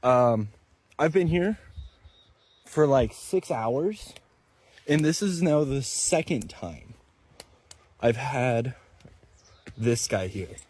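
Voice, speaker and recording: A man vlogging outdoors at a campsite, talking in a soft, tired, drawn-out way. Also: mid-range voice, expressive, a little hiss or hum. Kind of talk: vlog talking to camera.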